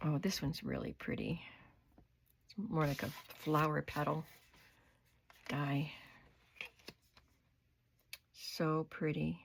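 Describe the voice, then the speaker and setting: An older woman with a cold and a hoarse voice, chatting unhurriedly as she shows her craft supplies in her home studio. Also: low-pitched, steady tone, a little hiss or hum. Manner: chatty, unhurried, describing items up close. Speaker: craft vlogger. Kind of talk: casual show-and-tell haul.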